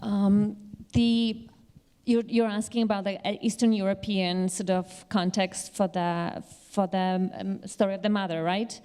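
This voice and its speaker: A woman panel speaker answering audience questions in a halting, explanatory way. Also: mid-range voice, flat delivery, dark tone, clean tone. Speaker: panel speaker, Yiddish literature teacher. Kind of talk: live question-and-answer talk.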